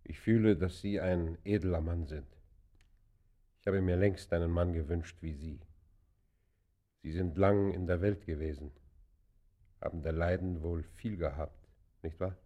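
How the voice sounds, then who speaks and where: Deep, mellow-voiced penitent criminal. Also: male voice, fairly even intonation, lo-fi sound. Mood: solemn, pleading. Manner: formal, solemn, halting stage delivery. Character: penitent male criminal confessing his life, earnest and brooding. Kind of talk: classic German radio play acting, literary and declaimed.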